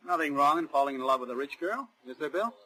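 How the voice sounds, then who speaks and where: Bitter young suitor, brash and defiant, bright forceful voice, slightly high, vintage 1940s radio acting. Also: male voice, lively intonation, fast talker, breathy edge, full character voice, low-quality recording. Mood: defensive. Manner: brash, resentful. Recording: restored 1940s radio drama recording.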